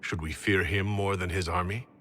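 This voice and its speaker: Cautious, lowish-voiced fantasy hero. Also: male voice, fairly even intonation, sharp tone, slight background noise. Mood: serious. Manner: measured, questioning, serious. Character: cautious, commanding male hero leading a fantasy army. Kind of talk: dramatic fantasy game acting.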